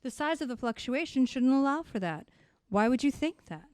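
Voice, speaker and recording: A woman acting as a busy, no-nonsense scientist in a radio play. Her fairly high voice moves up and down a lot and is recorded dry and close. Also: animated, normal speed, no room sound. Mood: brisk, assured. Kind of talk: scripted audio drama acting.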